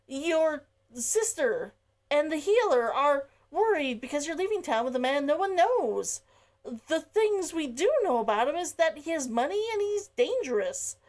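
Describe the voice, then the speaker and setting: A woman voicing a gentle, earnest young man who calmly reasons with someone in a storybook read-along. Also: very high-pitched. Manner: calm, reasoning delivery.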